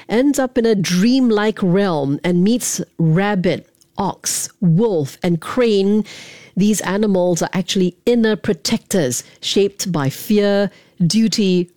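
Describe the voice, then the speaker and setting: A woman's voice: medium pitch, warm tone, no room sound, clean studio sound. A woman hosting a radio interview, reading a prepared introduction and then asking questions more loosely with some fillers. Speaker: radio host.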